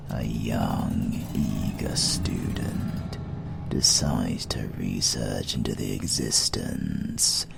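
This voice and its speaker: A British man playing an ancient, suave vampire lord in an intimate horror audio roleplay, menacing and flirtatious, with deliberate, teasing delivery that often drops to a whisper. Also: extremely low pitch, creaky, a little mellow, a little hiss or hum, full character voice. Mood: quiet menace.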